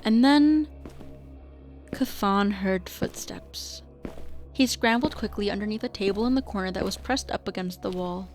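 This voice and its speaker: A woman's voice: medium pitch, unhurried. A woman reading scripted narration for a radio drama as the storyteller. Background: music.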